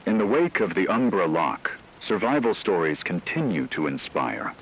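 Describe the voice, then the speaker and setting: A man playing a grave radio announcer, reading a scripted disaster broadcast in a solemn, measured way. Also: low voice, very animated, husky, full character voice, poor audio. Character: grave male radio announcer reporting on an apocalyptic aftermath. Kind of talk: scripted fictional emergency radio broadcast narration.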